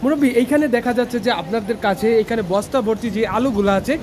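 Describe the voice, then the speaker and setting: Male market reporter with a very high voice, echoes the answers, noisy background. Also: quick pace, a little mellow. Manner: repeats the answers back, with hesitant fillers. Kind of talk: street market interview. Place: indoor wholesale market.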